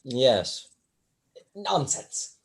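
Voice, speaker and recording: A performer playing a witty young male tutor in a staged period play, speaking in a dry, measured, articulate way. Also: medium pitch, sing-song. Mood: dry, aloof.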